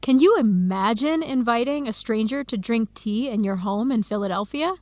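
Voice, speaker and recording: An actress playing an earnest young American woman researcher in a radio drama, with clear, expressive, carefully enunciated speech. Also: fairly high pitch, moderate pace, dry recording, close to an everyday voice. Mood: enthusiastic. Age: young adult. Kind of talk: scripted radio drama dialogue.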